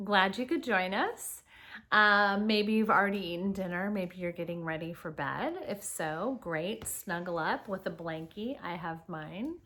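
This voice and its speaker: A woman's voice: medium pitch, a little slow, pushed and bright. A woman teacher reading a picture book aloud to children, explaining things and speaking to her young listeners directly with casual asides.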